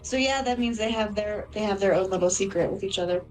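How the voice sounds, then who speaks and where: A woman's voice: medium pitch, fast talker, low-quality recording. A woman playing a tabletop game, narrating her character's feelings and actions.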